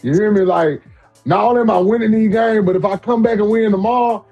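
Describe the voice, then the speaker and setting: A man's voice: sits high, a little fast, muffled recording, soft background music. A man in his forties co-hosting a podcast, talking loosely and emphatically in slang with plenty of repeats. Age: 40s. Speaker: podcast co-host. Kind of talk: casual sports chat.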